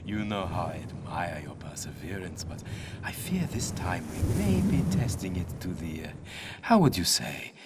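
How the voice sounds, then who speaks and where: An actor playing a gloating, pompous older villain in a video game, theatrical, taunting and mock-polite. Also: male voice, sits low, very animated, normal speed. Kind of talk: dramatic video game cutscene acting. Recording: loud background noise.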